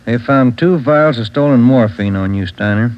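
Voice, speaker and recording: Guy doing a brisk no-nonsense detective, muffled old radio sound. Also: medium pitch, lightly acted. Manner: brisk, plain questioning. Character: male police detective partner. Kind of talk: 1940s radio acting.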